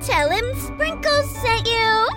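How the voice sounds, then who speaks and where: A woman's voice: very high-pitched, expressive, slow pace, music in the background, exaggerated voice. A woman voicing a tiny cheerful Christmas helper elf in a perky, childlike, sing-song promo. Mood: gleeful, perky.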